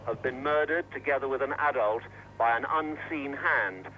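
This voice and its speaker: A man's voice: mid-range voice, moderate pace. A man narrating a scripted television news report as a correspondent. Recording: dull, noisy 1980s news track.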